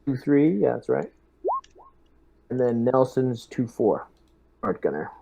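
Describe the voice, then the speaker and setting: A man playing a squad lieutenant in a tabletop role-playing session, speaking in a deliberate, halting, businesslike way. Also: mid-range voice, animated, gravelly, muffled recording, noisy background. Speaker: player acting as squad lieutenant.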